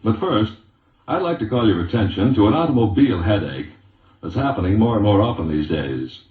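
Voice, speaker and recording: Low-voiced 1940s radio adman, muffled. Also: animated, brisk, echoey room, distant mic. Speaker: commercial announcer.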